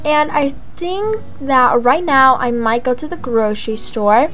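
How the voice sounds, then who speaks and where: A young woman voicing a chatty, excitable young mother in a gaming roleplay vlog, gushing and drawing out words for emphasis. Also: sits high, unhurried. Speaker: video creator voicing her game character. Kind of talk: voiced life-simulation roleplay vlog narration. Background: background music.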